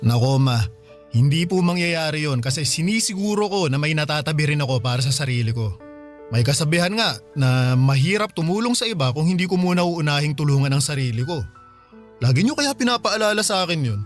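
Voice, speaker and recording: This is a man playing a hardworking son in his thirties with a slightly high voice. He speaks politely and reassuringly, as in radio drama dialogue, over soft music. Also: animated, fairly quick, close to an everyday voice.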